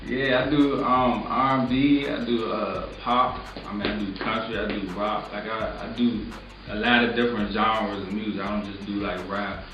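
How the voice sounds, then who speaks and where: A young man talks in a loose, laid-back way, filling his answers with casual fillers. His voice sits a little low. It comes from a mic set well back in an echoey room, with noticeable background noise. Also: fairly even intonation, moderate pace, far from the mic, dull audio. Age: 20s. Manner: laid-back, filler-heavy, lots of "like" and "you know what I'm saying". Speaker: music producer, guest. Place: small recording studio.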